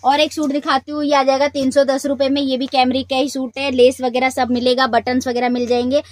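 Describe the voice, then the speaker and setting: A woman's voice: high-pitched, level tone, fairly quick, no room sound. A woman hosting a shop video who shows suits one after another and talks in a chatty, repetitive sales patter. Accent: Hindi speaker from North India. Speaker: shop video host showing wholesale suits. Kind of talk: product showcase sales pitch.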